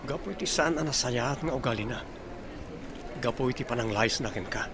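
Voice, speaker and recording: A man's voice: medium pitch, brisk, background noise, close to an everyday voice. A man playing a sincere young suitor in an audio drama. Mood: earnest. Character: sincere young man, courting, done with his ex.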